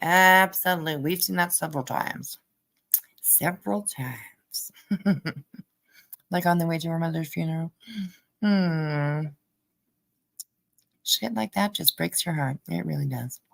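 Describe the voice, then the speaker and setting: A woman giving casual, halting commentary as host of a livestream. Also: sits low, creaky, fairly bright tone. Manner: halting, hesitant delivery with trailing asides. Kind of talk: casual livestream commentary over played clips.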